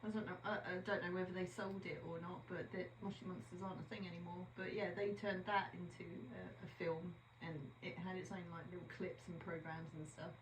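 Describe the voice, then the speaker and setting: A woman's voice: lowish voice, steady tone, a little fast, few pauses, noisy background. A woman co-hosting a podcast in casual chat, chatty and teasing, sometimes trailing off while searching for a word. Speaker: podcast co-host. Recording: echoey room.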